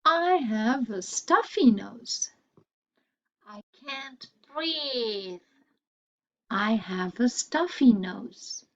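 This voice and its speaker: A woman teaching English vocabulary, repeating each phrase slowly and carefully for learners. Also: a little high, animated, lo-fi sound. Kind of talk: slow, deliberate vocabulary lesson.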